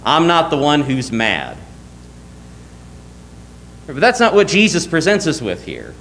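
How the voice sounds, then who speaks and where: A man's voice: a little high, huge pitch swings, a little slow, a bit bright, slight background noise, poor audio. A young man preaching a sermon from a church pulpit, earnest and emphatic, often asking rhetorical questions. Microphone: lectern mic, wide camera.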